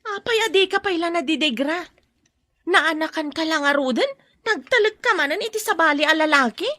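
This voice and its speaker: A woman's voice: very high-pitched, animated, a little fast, pushed and bright, no echo, clean studio sound, full character voice. A woman playing a blunt, judgmental, prying character in an Ilocano audio drama, questioning in a taunting way. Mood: mocking, suspicious.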